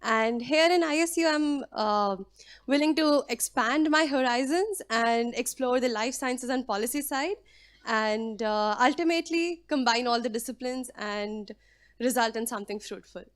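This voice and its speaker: Polite young woman, highish voice. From India. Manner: polite, formal, with filler pauses. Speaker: incoming student. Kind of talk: self-introduction at a ceremony.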